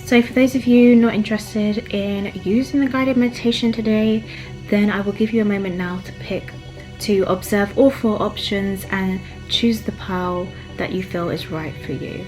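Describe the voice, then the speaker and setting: A young woman with a soft, soothing voice, chatting casually as a tarot card reader in a small room at home, filmed by a camera across a desk. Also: medium pitch, fairly even intonation, soft background music. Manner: chatty and rambling with fillers, later slow and hushed. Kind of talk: casual pick-a-card reading, then guided meditation.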